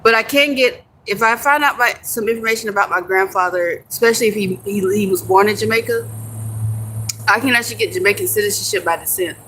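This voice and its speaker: Young American woman, bright forceful voice, casual livestream chatter, faint background hum. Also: mid-range voice, fast, poor audio. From United States. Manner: chatty, answers comments, laughs easily, some swearing. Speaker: livestream host. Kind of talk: casual live chat with viewers.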